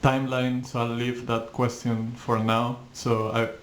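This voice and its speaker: A man's voice: mid-range voice, little pitch movement, normal speed, slight background noise. A man in his thirties hosting an interview over a video call, asking friendly, structured questions. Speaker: host. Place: indoor room.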